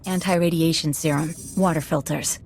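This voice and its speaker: Game role: eager female slicer convict, fairly low voice, self-assured with a nervy edge. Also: fairly even intonation, moderate pace, a little mellow, a little hiss or hum. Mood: focused.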